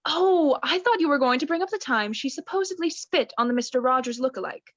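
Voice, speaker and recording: A young woman plays an oblivious, genteel mother in a student radio drama. Her high voice is arch and theatrical, captured in a dry but slightly muffled home recording. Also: animated, fairly quick, no echo. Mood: arch, hedging. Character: doting, oblivious mother, affectedly genteel wife. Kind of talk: student radio play acting.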